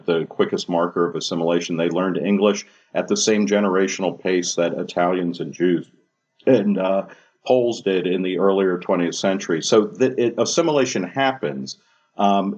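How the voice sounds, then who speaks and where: A man's voice: low voice, darkish tone, slightly rough. A man on a discussion panel, talking casually and anecdotally, with many "uh"s. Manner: anecdotal, filler-heavy. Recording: dull lo-fi audio.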